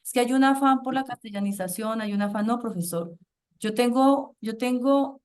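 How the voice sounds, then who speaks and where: A woman university professor with a Colombian accent, leading a class discussion in an animated way with frequent rhetorical questions. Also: a little high, normal speed.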